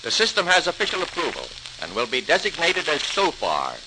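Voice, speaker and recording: An actor playing an authoritative senior official who presides over scientific tests, formal and decisive, in an old radio docudrama. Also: male voice, on the high side, wildly swooping pitch, a little fast. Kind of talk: 1940s-style radio docudrama acting. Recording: noisy, sizzling old-time radio recording.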